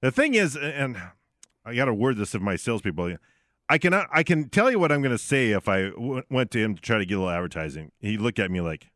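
A man's voice: mid-range voice, sing-song, fast, a bit bright, no room sound, studio quality. A man co-hosting a live sports talk radio show, chatting in a rambling, conversational way. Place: radio studio.

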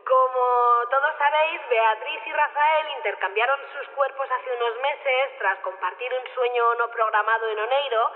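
An actress as an earnest female official delivers a solemn ceremonial speech in a high voice with a Spain Spanish accent. She is formal and halting, and her words carry a long reverb through a thin, narrow public-address sound, as in radio drama. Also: close to an everyday voice. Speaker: festival committee speaker.

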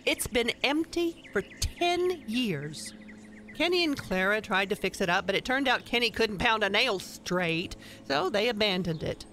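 A woman playing an opinionated, folksy older wife who briskly meddles, in a radio play. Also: mid-range voice, expressive, fairly bright tone, a little hiss or hum. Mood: enthusiastic.

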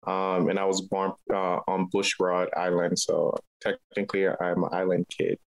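A man's voice: sits low, little pitch movement, normal speed, creaky, rough recording. A man from Liberia, a local artist, answering interview questions in a conversational way. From Liberia, West Africa.

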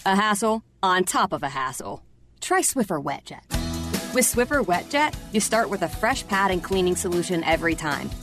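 A woman's voice: on the low side, big pitch swings, moderate pace. A woman reading a scripted advert as a voice-over. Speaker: commercial voice-over. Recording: music and effects behind.

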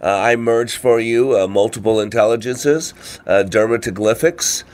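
A man hosts a motivational radio show in a congested, mid-pitched voice. He talks informally, putting rhetorical questions to the listener and checking in with a quick "right?" as he goes. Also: darkish tone. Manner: asks rhetorical questions, frequent "you know" and "right?". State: congested with a cold or allergies. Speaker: radio show host and trainer.

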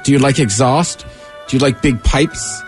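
A man's voice: mid-range voice, normal speed, faint music behind. A man, a radio co-host, joking in a laid-back way during show banter.

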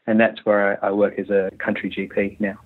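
A man in his thirties with an Australian accent, a country doctor answering interview questions in a hesitant, halting way with many fillers. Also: fairly low pitch, completely flat delivery. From Malaysia, raised in Western Australia. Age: 30s. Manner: hesitant, many restarts and fillers, laughs easily. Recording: tinny phone line.

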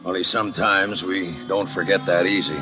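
An actor playing a weary, sardonic homicide lieutenant in clipped, wry 1950s radio crime drama style. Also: male voice, low-pitched, normal speed, lightly acted. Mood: brooding. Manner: clipped, deadpan, wry delivery. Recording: vintage radio recording with prominent music.

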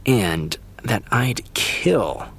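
Deep, husky, brooding vampire. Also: male voice, animated, very slow, a bit bright, heavily acted. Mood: intense, wounded. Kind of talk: dramatic scripted acting.

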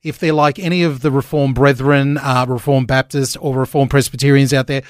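A man's voice: mid-range voice, little pitch movement, brisk, dry recording, studio quality. A man hosting a breakfast radio show, chatting casually with lots of fillers. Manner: chatty, upbeat, many fillers. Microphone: studio microphone.

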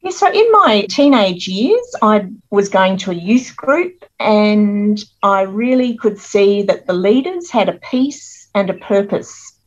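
A woman's voice: medium pitch, expressive, slow, few pauses. Earnest Australian woman, slightly muffled. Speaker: guest author. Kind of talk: conversational interview storytelling.

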